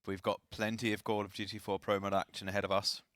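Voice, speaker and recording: Man, esports caster, lowish voice, slightly raspy, chatty, dry recording. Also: level tone, quick pace, fairly bright tone, no echo. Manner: chatty, self-deprecating, apologetic asides. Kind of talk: live esports commentary.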